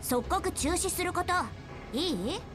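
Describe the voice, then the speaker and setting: An actress playing a stern young woman soldier who briskly nags her lazy father in a Japanese visual novel. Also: very high-pitched, expressive, music in the background. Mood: stern, firm.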